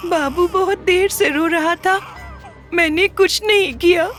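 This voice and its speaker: A woman acting out a timid, mistreated girl with a very high, slow voice. Soft music plays under her. She speaks gently and pleads. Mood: pleading. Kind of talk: melodramatic web drama acting.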